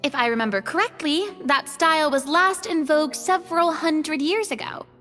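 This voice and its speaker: Game role: polite young woman companion. Extremely high, earnest, reverberant. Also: normal speed, fairly bright tone. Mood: thoughtful. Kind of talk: scripted game voice acting. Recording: echoey reverb, music behind.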